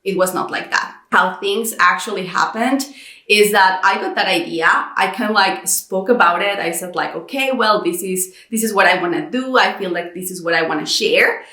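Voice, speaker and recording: A woman's voice: mid-range voice, moderate pace. A thirty-year-old woman from Mexico telling her personal story in a chatty vlog, acting out her own thoughts as she goes. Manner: animated retelling with quoted inner dialogue. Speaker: YouTube content creator. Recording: roomy echo.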